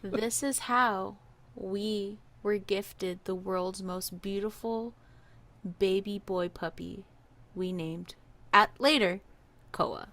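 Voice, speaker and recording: A young woman from Hawaii co-hosting a podcast, telling a story in a lively, laughing way. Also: mid-range voice, slow pace. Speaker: podcast co-host. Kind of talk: casual storytelling.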